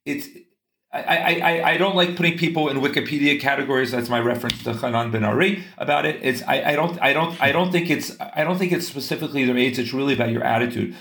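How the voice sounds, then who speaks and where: A man's voice: medium pitch, quick pace, vocal fry, fairly bright tone, roomy sound. A man speaking as a guest in a casual interview. He talks earnestly in long runs and restarts his sentences often. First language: English.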